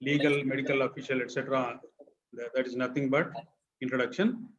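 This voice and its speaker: A man's voice: mid-range voice, little pitch movement, brisk, muffled recording. A man with a Telugu-flavored Indian accent, a college English lecturer who teaches a class, repeats his points for emphasis and quizzes his students.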